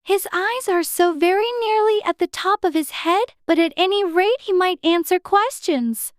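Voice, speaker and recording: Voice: very high voice, normal speed, no echo, studio quality, voice pushed up. A narrator voicing a curious, prim and polite little girl in a storybook, earnest and insistent. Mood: puzzled, insistent.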